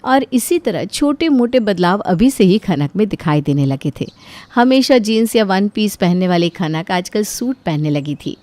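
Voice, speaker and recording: A woman's voice: medium pitch, very animated, normal speed, few pauses, a little mellow, no echo. A woman giving scripted storytelling narration for a cartoon.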